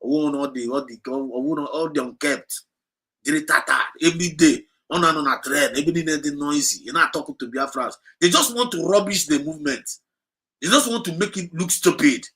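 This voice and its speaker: A man's voice: on the high side, brisk, sharp tone, slightly rough. A man with a Nigerian accent hosting a livestream, exhorting his listeners and slipping between English and Igbo as he repeats his points. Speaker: livestream host. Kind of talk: impassioned livestream talk.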